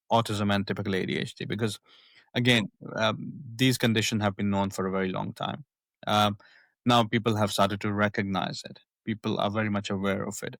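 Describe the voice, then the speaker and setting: Middle-aged Pakistani-accented male guest, measured and repetitive, dry close sound. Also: lowish voice, a bit bright, dry recording. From northwest Pakistan. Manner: thoughtful, frequent repetitions and restarts. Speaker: psychiatrist guest. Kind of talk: reflective interview talk.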